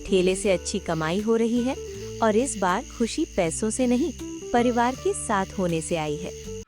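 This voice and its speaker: A woman narrating a cartoon moral story in a measured storytelling manner. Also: mid-range voice, animated, music in the background.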